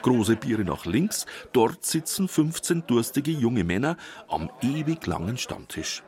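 A man narrating a documentary feature in a wry, measured storytelling manner. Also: mid-range voice, very animated, a little mellow. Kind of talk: scripted narration.

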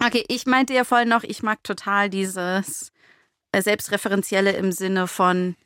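A woman's voice: medium pitch, fairly even intonation, moderate pace, fairly bright tone, clean tone, no room sound. Informal woman co-host, close dry sound. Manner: informal, opinionated. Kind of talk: casual discussion.

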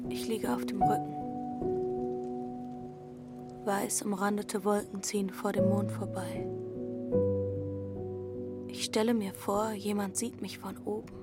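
A woman's voice: mid-range voice, natural voice. A woman acting a runaway teenage girl who wanders alone, headstrong and dreamy. She tells it in the present tense, lyrical and close, in a mid-range female voice. Prominent music plays under her throughout this audio drama.

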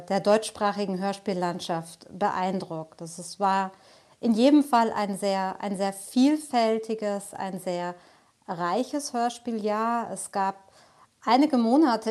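A woman's voice: medium pitch, darkish tone. A woman on a jury giving an unscripted interview answer, hesitating and repeating words as she thinks. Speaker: jury member.

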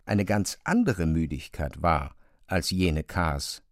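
A man narrating a literary radio drama in a measured, precise way, as a composed observer. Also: low voice, expressive, no room sound, clean studio sound. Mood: measured, observant. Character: male narrator of an absurd bureaucratic tale, observant and composed.

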